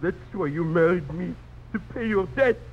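A high male voice on a muffled old radio recording. a bitter, drunken gambler, ruined and self-pitying, in overwrought 1950s radio drama acting. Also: lively intonation, moderate pace. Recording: muffled old radio broadcast, faint hiss.

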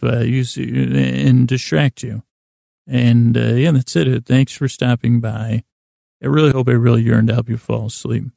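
A man hosting a bedtime podcast in a creaky voice, rambling in a lulling, halting, self-deprecating way. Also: medium pitch, warm tone, no echo, clean studio sound. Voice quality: creaky, gravelly. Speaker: podcast host. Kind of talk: rambling, meandering bedtime monologue.

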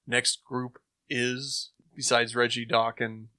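A man in casual chat, rambling enthusiastically with thinking pauses. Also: mid-range voice, level tone, pushed and bright, no room sound. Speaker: podcast host.

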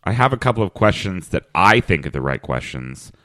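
A man hosting a comedy podcast, talking in a dry, halting way full of fillers. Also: low-pitched, sing-song, a bit bright, no echo. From Kentucky. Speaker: podcast host. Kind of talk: deadpan comedic podcast chat.

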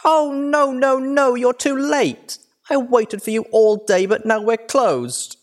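A man's voice: high voice, normal speed, voice pushed up, exaggerated voice. Man voicing a squealy, manic pony filly. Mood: horrified, distraught. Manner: gushing, excitable, theatrical. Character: manic, bubbly young female pony filly tour guide. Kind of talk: animated audiobook character voice.

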